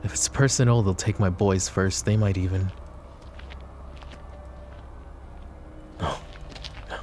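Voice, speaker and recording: A man playing a flirty, possessive mafia boss who is protective and menacing, in an intimate roleplay ASMR style with smooth-talking, controlled menace. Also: low-pitched, steady tone, moderate pace, darkish tone, a little hiss or hum, natural voice. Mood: angry, threatening.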